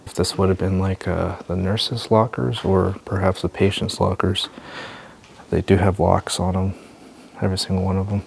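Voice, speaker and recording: A man narrating a walk through an abandoned building, calmly pointing things out as he goes. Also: moderate pace, creaky, a little hiss or hum. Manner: low-key, observational, thinking aloud. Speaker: urban explorer host. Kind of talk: walking vlog narration.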